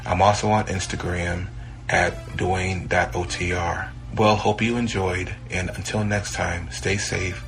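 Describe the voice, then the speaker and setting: A man's voice: sits low, level tone, unhurried, gravelly, fairly bright tone, faint music behind. A man hosting a podcast, delivering a scripted sign-off to listeners. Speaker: podcast host.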